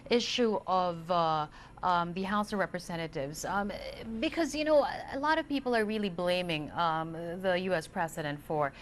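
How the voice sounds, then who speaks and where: A woman's voice: lowish voice, normal speed, a little hiss or hum. A woman anchoring a TV interview, who hesitates and fills her questions with ums and uhs. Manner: hesitant, with many fillers. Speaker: news anchor.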